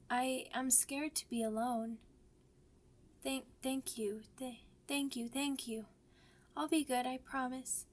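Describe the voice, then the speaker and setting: Timid little werewolf child, played by a woman, very high voice, slow and stammering, for ASMR roleplay. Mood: timid, hopeful. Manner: hesitant, stammering. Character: timid, orphaned young werewolf pup, child, eager to trust. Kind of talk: ASMR audio roleplay acting.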